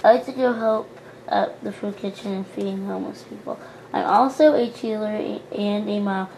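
A woman's voice: medium pitch, fairly even intonation, moderate pace, vocal fry, darkish tone, a little hiss or hum, lightly acted, low-quality recording. A young woman voicing a prim, churchy teenage girl doll who talks in an earnest, boastful way. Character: prim pious teen girl doll, goody-two-shoes.

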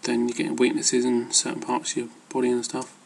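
Flat, gravelly man rambling casually. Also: medium pitch, brisk, slight background noise. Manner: hesitant, loosely worded. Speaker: amateur fitness vlogger. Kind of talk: casual rambling advice talk.

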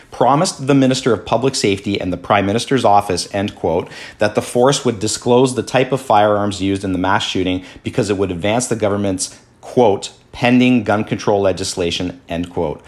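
A man from Canada hosting a podcast, reading news articles aloud and commenting in a halting way full of fillers, his voice slightly worn. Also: lowish voice. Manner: halting, many fillers and restarts. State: losing his voice. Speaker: podcast host.

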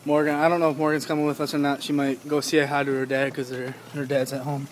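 A man's voice: a little high, level tone, fast talker, noisy background. Young man rambling into his phone. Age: young adult. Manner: rambling, many fillers and restarts. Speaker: vlogger. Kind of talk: casual vlog chat to camera. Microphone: phone at arm's length.

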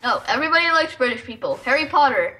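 Lively, high-pitched young woman gamer. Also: fast talker. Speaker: gaming friend, co-player. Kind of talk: casual chat while gaming. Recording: distant, noisy voice with clicks.

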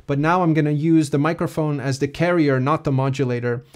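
A man giving a casual, explanatory software walkthrough as a music production tutorial presenter. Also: fairly high pitch, steady tone, brisk.

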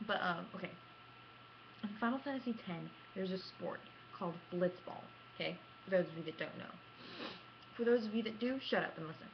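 A woman's voice: on the low side, fairly quick. Young woman vlogger, muffled noisy webcam sound. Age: young adult. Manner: self-mocking, theatrical, acts out quotes. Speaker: gaming vlogger. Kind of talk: casual animated storytelling to camera. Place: small room at home, indoors. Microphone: computer webcam at arm's length. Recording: dull lo-fi webcam sound with clear noise.

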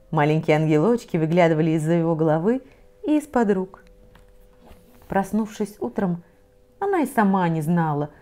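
Soft-voiced woman reading a fairy tale. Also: on the low side. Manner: soft, measured, often dropping to a near whisper. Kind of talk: gentle children's story reading. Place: cozy living room set with bookshelves, in an armchair.

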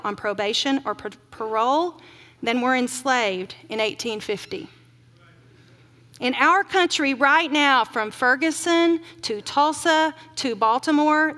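A middle-aged woman giving an earnest keynote sermon from a podium on a large auditorium stage, slowing down to stress grim facts. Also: sits high, bright, forceful tone. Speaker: keynote speaker. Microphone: podium mic. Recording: long hall reverb.

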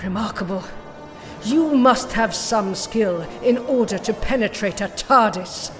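A woman's voice: mid-range voice, unhurried, natural voice. A woman playing a haughty, scornful renegade scientist in an audio drama, imperious and precise. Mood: fascinated, haughty. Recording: long reverb, faint music behind.

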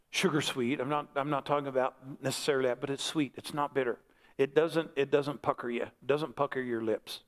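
An American man in his sixties preaching as a pastor in a conversational, folksy way, with short repeated phrases. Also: fairly high pitch. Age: 60s. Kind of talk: conversational sermon and altar call. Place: large church sanctuary, on stage before congregation.

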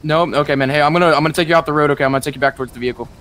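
Very fast-talking, placating man. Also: on the high side, fairly even intonation, fairly bright tone, slight background noise. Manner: placating, repeats himself. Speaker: role-play gamer as arresting officer. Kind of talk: casual police role-play.

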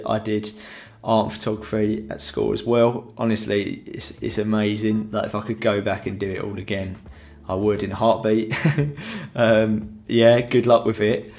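Chatty young man, low voice. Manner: rambling, chatty, many fillers. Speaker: photography tutor. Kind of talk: casual live-stream tutorial. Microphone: headset.

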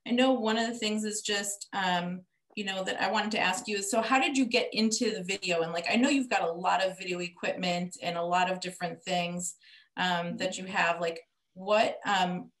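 A woman's voice: mid-range voice, steady tone, normal speed, fairly bright tone. A woman in her thirties, a native Greek speaker, hosting a casual webinar over a video call in a friendly, rambling way with many fillers. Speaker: webinar host. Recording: echoey room on a video call.

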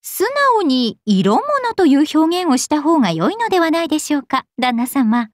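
A woman playing a prim young attendant in a game, polite but sharp-tongued, with a sardonic deadpan manner. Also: high-pitched, moderate pace, no room sound, studio quality. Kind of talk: game character acting.

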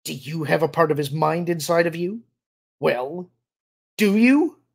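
Fanatical, vengeful military major, acted by a male narrator. Agitated, sneering, insistent, gravel in the throat, forceful and loud. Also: on the high side, animated, unhurried, voice pushed up, heavily acted. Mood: snarling, sneering.

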